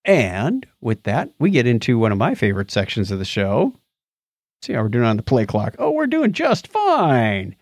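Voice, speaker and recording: A man's voice: mid-range voice, wildly swooping pitch, normal speed, no echo, studio quality. A man hosting a podcast in casual chat, with jokey, informal asides. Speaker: podcast host.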